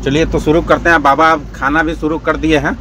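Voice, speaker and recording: A man in his forties, a truck driver, chatting to viewers as he films himself on a phone held at arm's length, outdoors by a highway and in his truck cab. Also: level tone, a little fast. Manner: chatty, addresses viewers as friends. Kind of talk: casual vlog narration. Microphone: phone camera at arm's length. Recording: loud traffic and road noise. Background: vehicles and traffic.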